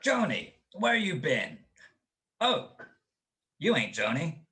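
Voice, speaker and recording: A man's voice: on the high side, huge pitch swings, takes it slowly, very noisy, heavily acted. A young man playing an awkward boyfriend in a radio drama, speaking hesitantly and colloquially. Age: young adult. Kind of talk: 1940s radio drama acting.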